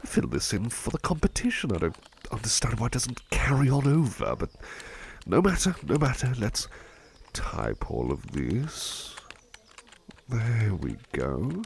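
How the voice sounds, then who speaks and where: A man playing a devoted, polite butler in an ASMR roleplay, eager and gently fussy, murmuring hesitantly and repeating himself reassuringly. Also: medium pitch, huge pitch swings, a little slow, vocal fry, slight background noise, heavily acted. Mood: gently attentive. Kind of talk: ASMR roleplay, soft personal chat.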